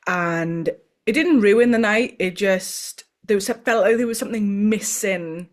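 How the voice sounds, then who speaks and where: A woman's voice: mid-range voice, measured pace. A Welsh woman co-hosting a casual podcast chat, who talks freely and often trails off mid-sentence. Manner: chatty, trails off and restarts. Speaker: podcast co-host.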